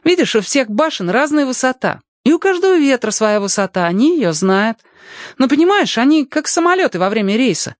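Young boy, storybook: eager, trusting chatterbox, pitched way up and swinging excitedly, close dry sound. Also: a little fast, dry recording. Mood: eager explaining. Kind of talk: acted audiobook dialogue.

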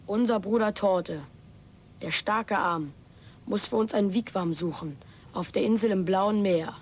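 A woman's voice: mid-range voice, measured pace, a little hiss or hum, close to an everyday voice. A woman playing a child who pretends to be a wise chief, speaking in a solemn, mock-grand, ceremonial manner in an audio drama. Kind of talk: children's radio play acting.

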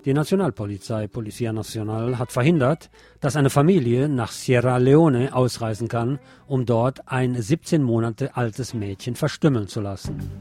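A man reads radio news in a sardonic, opinionated way, adding his own commentary as he goes. His voice is mid-pitched, and music plays faintly behind him. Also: moderate pace, dark tone. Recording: music bed under the voice.

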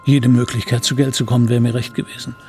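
A man's voice: mid-range voice, flat delivery, quick pace, warm tone, a little hiss or hum, natural voice. A man acting as a reflective, confessional narrator who recalls his guilty boyhood in a radio drama. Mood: remorseful, reflective. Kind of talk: scripted first-person radio drama narration.

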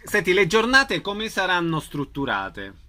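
A man's voice: sits high, huge pitch swings, measured pace, background noise. A man hosting a conversational radio interview, putting questions to a guest in an informal way.

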